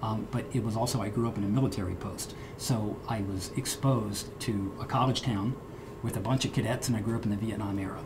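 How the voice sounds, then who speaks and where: A man's voice: fairly low pitch, steady tone, brisk, noisy background. A middle-aged man, a guest in an interview, who grew up speaking Portuguese at home, talking earnestly and restarting his words. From United States. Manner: earnest, repeats words when starting. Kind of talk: interview conversation.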